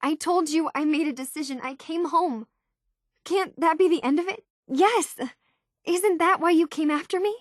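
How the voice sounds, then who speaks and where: A woman's voice: very high-pitched, normal speed, no echo, clean studio sound. An actress playing an earnest, anxious and curious young runaway woman, speaking hesitant, thoughtful dialogue for a video game. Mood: pleading, apologetic.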